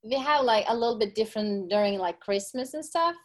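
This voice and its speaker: Brief: middle-aged Norwegian woman, accented English, unhurried, pauses to search for words. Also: on the high side. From Norway. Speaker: podcast guest, gym owner and coach. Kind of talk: casual interview.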